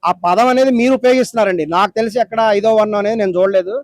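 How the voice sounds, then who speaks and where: A man with a very high voice fires off his points at breakneck speed. He argues insistently and hammers the same phrase again and again. The sound is bone-dry, with no room around it. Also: racing, no room sound. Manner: insistent, argumentative, repeats himself for emphasis. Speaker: debate guest. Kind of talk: heated phone-in debate. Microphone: phone call.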